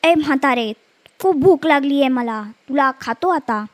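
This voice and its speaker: A woman voicing a hungry, bossy but gullible male wolf in a children's story, loud and demanding. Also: high-pitched, moderate pace, no room sound, close to an everyday voice. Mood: menacing hunger.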